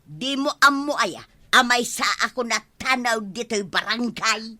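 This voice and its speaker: A woman's voice: fairly high pitch, unhurried, sharp tone, dry recording. A woman playing a feisty, scolding village watchwoman in an Ilocano radio comedy drama, with an Ilocano accent.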